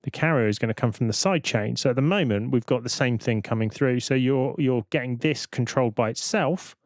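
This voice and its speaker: A man giving an informal, step-by-step software demonstration as a tutorial presenter. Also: mid-range voice, a little fast, dry recording, clean studio sound.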